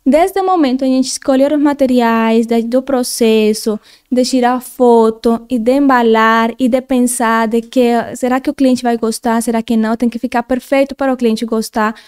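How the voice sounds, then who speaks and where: Colombian woman guest, high clear voice, earnest chat, Spanish accent. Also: steady tone, normal speed, few pauses, a little mellow. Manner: earnest, restarts often. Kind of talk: casual interview chat.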